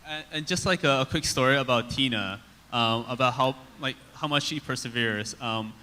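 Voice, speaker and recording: A man's voice: mid-range voice, moderate pace, sharp tone, big-room sound. A man speaking casually on a conference stage, telling a short story with many fillers. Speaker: conference presenter. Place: conference hall.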